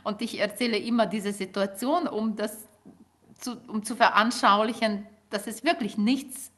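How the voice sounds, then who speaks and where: A middle-aged woman, a native Ukrainian speaker, answering interview questions as a linguist in a measured, explanatory way with frequent fillers. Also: medium pitch, steady tone, fairly bright tone. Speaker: linguist guest.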